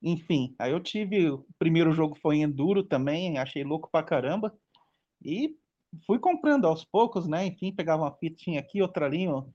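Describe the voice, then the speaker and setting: A man in his late thirties from Brazil talks casually with friends, slowly working through fond memories of childhood games. His voice sits slightly on the high side. The recording is muffled and lo-fi. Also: animated, dark tone. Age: 30s. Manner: nostalgic, rambling listing of old games. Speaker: podcast participant. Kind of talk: casual chat.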